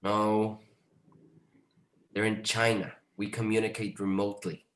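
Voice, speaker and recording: A man's voice: on the low side, level tone, unhurried, fairly bright tone. Man playing brusque dealmaker, echoey room. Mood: curt. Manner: curt, casual, impatient. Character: brusque, pushy business dealmaker, impatient man. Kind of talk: screenplay table read.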